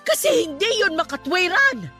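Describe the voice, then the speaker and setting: A woman's voice: very high voice, sing-song, normal speed, pushed and bright, soft background music, heavily acted. A woman playing a stern but caring middle-aged religious aunt in an emphatic Tagalog radio drama. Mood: angry, scolding. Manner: emphatic, scolding then consoling.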